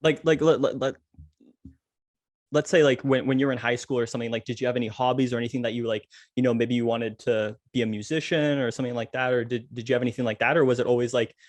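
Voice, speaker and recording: A man's voice: medium pitch, steady tone, fast, dry recording. A man hosting a business podcast, asking long rambling questions with repeated words and fillers. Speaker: podcast host.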